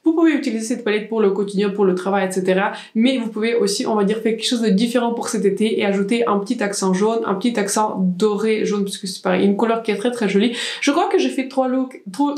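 A woman's voice: mid-range voice, quick pace, hardly any pauses, a little mellow. Chatty young woman in echoey room. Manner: chatty, many restarts and fillers. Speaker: beauty vlogger. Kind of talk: casual product review. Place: small indoor room with plants.

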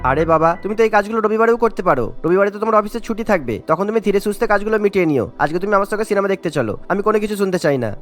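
An actor playing a caring but exasperated young husband in a cartoon story, pleading and complaining. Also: male voice, sits high, lively intonation, racing, hardly any pauses, faint music behind. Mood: annoyed, exasperated.

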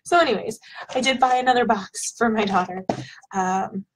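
A woman in her thirties, a bit hoarse from illness, chatting casually at her webcam in a small home office while unboxing products, with plenty of ums. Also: mid-range voice, normal speed, slight background noise, poor audio. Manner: chatty, rambling, with frequent ums. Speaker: product vlogger. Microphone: webcam at desk.

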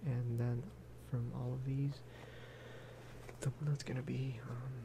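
Casting: male ASMR gamer, hushed soft-spoken delivery, middle pitch, unhurried, noisy recording. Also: flat delivery, gravelly. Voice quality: soft, hushed voice, at times breathing into whisper. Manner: unhurried, low-key, musing aloud. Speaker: gaming video creator.

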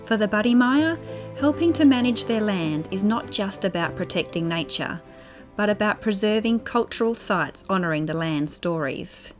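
A woman's voice: mid-range voice, lively intonation, normal speed. A woman with an Australian accent reading scripted narration for a news feature as a reporter. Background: soft music.

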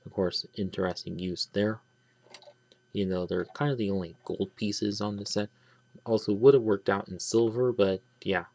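A man giving a casual, rambling toy review, pausing and restarting often as he handles the pieces. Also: fairly low pitch, fairly even intonation, darkish tone, no room sound. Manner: rambling, halting, many restarts and trailing "but yeah". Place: small indoor room at a table. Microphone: camera close on hands, speaker off-frame.

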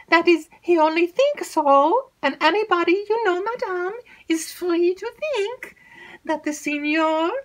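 Woman putting on a shrill, tearful young maid. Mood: flustered. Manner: breathless, tearful, rambling. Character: excitable chattering young female maid, easily frightened.

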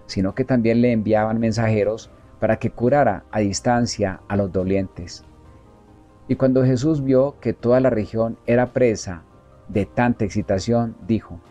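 Male reader, mellow dark tone, measured and solemn delivery, faint music underneath. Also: medium pitch. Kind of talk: measured scripted book reading.

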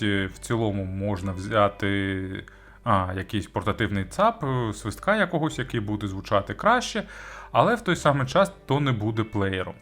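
A man's voice: lowish voice, a bit bright. A man giving a conversational product review as host, searching for words and adding informal asides. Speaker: audio gear reviewer and host.

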